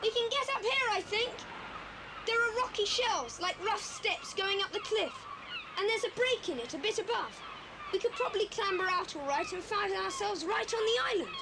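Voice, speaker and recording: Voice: very high-pitched, expressive, normal speed. A woman playing an eager, adventurous, well-spoken child in a 1980s audio drama. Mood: excited. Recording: very noisy old cassette tape transfer.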